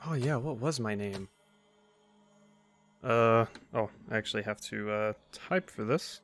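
A man's voice: lowish voice, measured pace, fairly bright tone. A man giving casual, rambling gameplay commentary as a let's play host, with wry asides. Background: faint game music.

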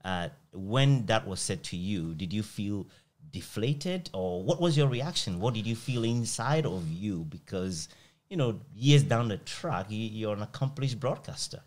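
A middle-aged man, asking measured questions as a podcast host. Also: lowish voice, lively intonation, darkish tone. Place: small plain indoor room. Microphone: close microphone on a stand.

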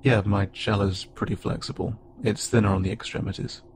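A man playing an awkward, gentle insect-like alien pilot who speaks haltingly and unsure of himself, in an intimate audio roleplay. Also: on the low side, steady tone, natural voice. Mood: curious, softening. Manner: hesitant, halting, stammering and self-doubting. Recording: clearly noisy, with ambient sound bed.

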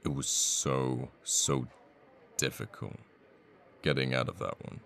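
Very deep, gravelly male assassin played by an actor. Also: steady tone, a bit bright, full character voice. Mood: cocky, irritated. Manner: unhurried, murmured, sweary, with sighs and pauses. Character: cocky young male assassin, flippant, menacing, secretly a devoted boyfriend. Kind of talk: intimate ASMR audio roleplay.

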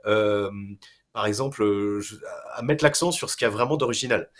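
Homme à la voix grave, hésitant. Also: male voice, on the low side, a bit bright. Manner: halting, thinking aloud. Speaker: panel guest. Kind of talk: casual panel discussion. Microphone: video call.

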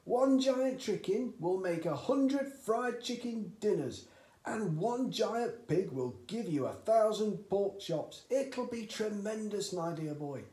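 A man's voice: high voice, expressive, mellow, pitched above the performer's own voice. A man voicing an overexcited middle-aged father who bellows and gushes over his schemes. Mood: excited. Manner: bellowing, gushing, drawn-out exclamations. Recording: roomy echoey home recording.

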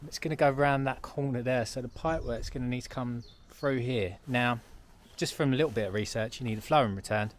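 A man's voice: mid-range voice, a little fast, few pauses, slight background noise. Young man explaining his building site. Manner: practical, explaining on the go. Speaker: self-build vlogger. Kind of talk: casual site walkthrough. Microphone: handheld action camera.

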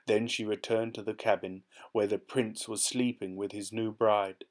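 A man calmly reading a fairy tale aloud from a storybook. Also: fairly low pitch, normal speed. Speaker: storybook reader. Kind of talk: gentle read-aloud storytelling.

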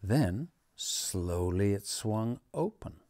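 A man in his sixties reading a children's story aloud expressively, in a measured, dramatic manner. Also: fairly low pitch, lively intonation, mellow, dry recording. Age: 60s. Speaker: storybook reader. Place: living room at home, on a sofa.

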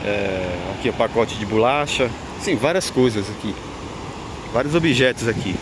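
Brazilian man vlogging in loud wind noise. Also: fairly low pitch, animated, moderate pace. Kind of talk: unscripted walking vlog commentary. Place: outdoors on an open windy beach. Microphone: handheld camera mic, behind the camera. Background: wind and outdoor ambience.

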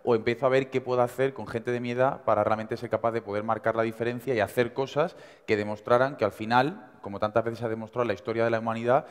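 A man in his twenties from Seville with an Andalusian accent, giving an energetic, conversational motivational talk to students in a university auditorium. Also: medium pitch, clear, resonant tone. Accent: Andalusian Spanish. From Seville, Spain. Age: 20s. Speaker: invited speaker. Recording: reverberant hall.

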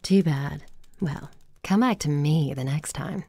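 Low, mellow, worldly brothel woman. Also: a little slow, vocal fry, soft background music. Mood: coy. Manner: sly, confiding. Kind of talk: video game dialogue.

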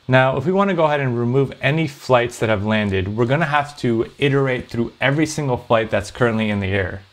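Man explaining code step by step. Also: medium pitch. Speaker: programming tutorial host. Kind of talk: explanatory coding tutorial, conversational.

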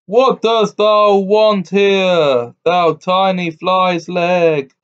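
A man voicing a huge, dim-witted, blustering giant who talks in a slow, booming, old-fashioned way. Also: steady tone, smooth. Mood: bullying. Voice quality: booming, thundering. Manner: slow, drawn-out archaic speech. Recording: dull lo-fi recording.

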